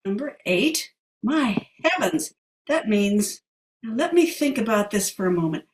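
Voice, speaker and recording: A woman's voice: medium pitch, animated, a little slow, close to an everyday voice. A woman plays a grand, forgetful elderly lady in an audio play, given to dramatic, wistful rambling. She sounds distant from the microphone in an echoey room. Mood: rambling, nostalgic. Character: wistful, grand, dotty elderly female club member. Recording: roomy echo, off the mic.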